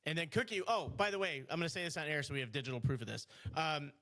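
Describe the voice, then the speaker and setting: A man's voice: on the high side, expressive, quick pace, sharp tone. A man co-hosting a casual podcast, teasing his guest and rambling with many restarts. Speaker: podcast co-host.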